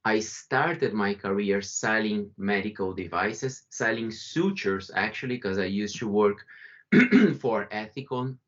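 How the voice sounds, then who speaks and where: A man's voice: lowish voice, expressive, measured pace. A man from Brazil with a Brazilian accent, giving a conversational webinar presentation over a video call, often restarting and correcting himself. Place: remote call from home or office. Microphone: video call microphone. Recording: muffled video call audio.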